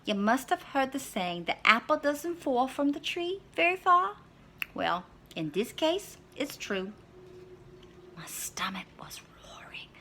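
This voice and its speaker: A woman's voice: high-pitched, sing-song, slow pace, pushed and bright, slight background noise, voice pushed up, exaggerated voice. A woman voicing a hungry, sly male wolf who tells his own storybook tale in a dramatic, scheming, wry way. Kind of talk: storybook read-aloud in character.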